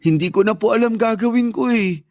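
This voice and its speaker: A man's voice: high voice, fairly quick, a little mellow, no echo, clean studio sound, lightly acted. A man playing a meek, sulky young son in a Tagalog radio drama, plaintive and apologetic. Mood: hurt, apologetic.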